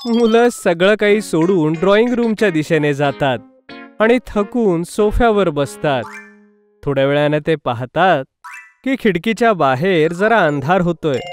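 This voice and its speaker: A man's voice: sits high, expressive, measured pace, faint music behind. A man reading scripted narration for a children's cartoon story.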